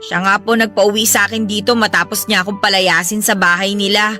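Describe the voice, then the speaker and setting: A woman's voice: medium pitch, fairly even intonation, brisk, pushed and bright, close to an everyday voice. A woman playing a hurt, pleading young housemaid daughter in a Filipino radio drama, respectful but aggrieved. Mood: wary, hurt. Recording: music behind the voice.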